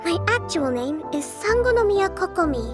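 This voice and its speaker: A gentle, composed young strategist; very high, warm-mannered read. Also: female voice, big pitch swings, moderate pace. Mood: gracious. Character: calm gracious young woman strategist. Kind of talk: video game voice acting. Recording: game audio with music behind.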